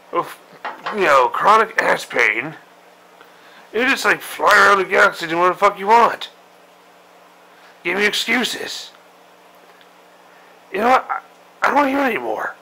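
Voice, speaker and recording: A man voicing a crude, grumpy old male admiral who rants and swears in a gravelly voice. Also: on the high side, bright, forceful tone, heavily acted, low-quality recording. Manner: profane, griping rant. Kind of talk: comedic parody monologue.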